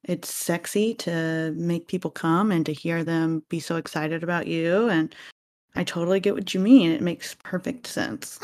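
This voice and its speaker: A woman's voice: fairly low pitch, steady tone, normal speed, a little mellow. A woman hosting an interview, chatting casually and giggly with her guest. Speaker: podcast host.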